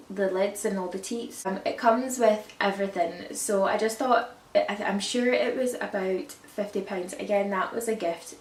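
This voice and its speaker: Croaky woman chatting to camera. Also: medium pitch. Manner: rambling, self-correcting, chatty. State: croaky from a cold. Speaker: parenting vlogger. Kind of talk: casual chat to camera. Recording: echoey room, distant mic, faint hiss.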